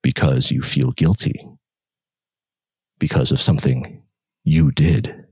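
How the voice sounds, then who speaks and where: A man voicing a sly, cold inner voice that talks slowly and calmly. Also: a bass voice, husky, dry recording, voice pushed down, exaggerated voice. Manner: slow, calm, insinuating. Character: sly low male inner voice, coaxing and cold.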